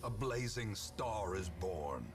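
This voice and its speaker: A man's voice: fairly low pitch, lively intonation, takes it slowly. A man voicing the grave, theatrical narrator of a gothic dungeon game. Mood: ominous. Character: grim, ominous old male narrator of a gothic dungeon. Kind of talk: dramatic scripted narration. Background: loud game music.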